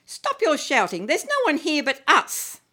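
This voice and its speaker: A woman voicing the giant's wife, who brushes off her husband in a brisk, dismissive way. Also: sits high, huge pitch swings, sharp tone, pitched above the performer's own voice, exaggerated voice.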